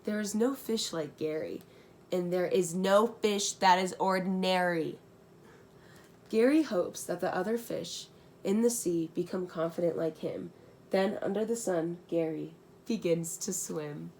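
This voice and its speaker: A woman's voice: fairly low pitch, unhurried, darkish tone, slight background noise. A young adult woman reading a rhyming children's storybook aloud, breaking into slangy, casual, giggly asides.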